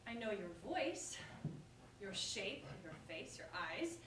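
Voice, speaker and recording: A woman's voice: medium pitch, sing-song, slow, fairly bright tone. A woman playing a witty, proud, wounded young bride in a staged verse comedy, declaiming with sardonic bite. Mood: indignant, sarcastic. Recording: roomy, distant stage recording with faint noise.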